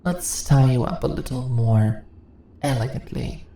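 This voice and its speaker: A woman voicing a seductive, dominant spider monster in an intimate ASMR roleplay, breathy and often whispering, with teasing, condescending questions. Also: rumbling low, animated, a little slow, dark tone, heavily acted. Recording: roomy echo, faint background noise.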